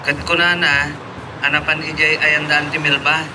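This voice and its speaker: Higher-pitched young Ilocano brother played by an actor. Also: male voice, flat delivery, moderate pace, background noise, natural voice. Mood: concerned. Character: concerned young working older brother. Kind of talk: Ilocano audio drama acting.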